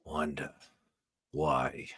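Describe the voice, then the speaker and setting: A man's voice: very deep voice, level tone, extremely slow, fairly bright tone, husky, full character voice. A man playing a grave, commanding mutant mastermind in a radio theatre read, solemn and imperious. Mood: heartsick.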